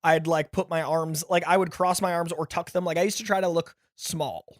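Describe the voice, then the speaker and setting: A man with a naturally high voice co-hosting a podcast, talking in an enthusiastic, earnest casual way. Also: steady tone, a little fast, no echo, studio quality. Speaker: podcast co-host. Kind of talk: casual chat.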